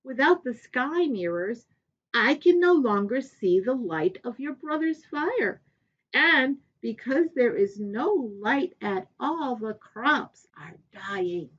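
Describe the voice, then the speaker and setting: Woman reading as a polite, earnest porcupine farmer, unhurried. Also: fairly high pitch, animated, fairly bright tone, husky, lo-fi sound, voice pushed up, full character voice. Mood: troubled, frank.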